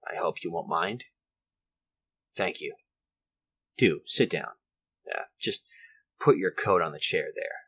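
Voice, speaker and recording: Genteel, hesitant old inventor, low-voiced. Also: male voice, lively intonation, slow pace, pauses often, bright, forceful tone, breathy edge, full character voice. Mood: polite, hesitant. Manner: hesitant, genteel, rambling, formal. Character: elderly, courteous infirm inventor of artificial limbs. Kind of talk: retro 1940s radio drama acting, monologue.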